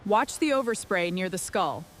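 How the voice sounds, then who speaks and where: A woman's voice: medium pitch, expressive, moderate pace, a little hiss or hum. A woman speaking short, scripted remarks and commands as a machine restorer at work.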